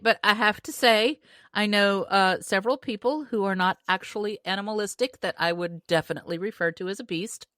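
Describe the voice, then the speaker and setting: A woman's voice: medium pitch, fairly bright tone, no room sound, studio quality. A woman co-hosting a podcast, talking casually about books. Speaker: podcast co-host. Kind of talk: casual book discussion.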